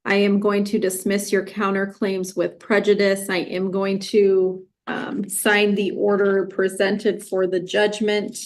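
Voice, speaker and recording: A woman judge ruling in court, speaking in a measured, firm and formal way. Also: mid-range voice, completely flat delivery.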